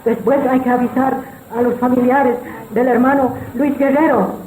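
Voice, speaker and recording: Woman playing an urgent church sister, high voice, echoey. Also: steady tone, moderate pace, mellow, close to an everyday voice. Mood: insistent. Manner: insistent, repeating phrases. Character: urgent, insistent church sister bearing bad news. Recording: echoey room with background noise.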